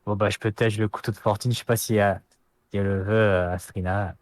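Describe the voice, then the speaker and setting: Low-ish guy player, talks fast, trips over his words. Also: fairly even intonation. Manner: quick, stumbling over repeated words. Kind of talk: casual chat during a tabletop game.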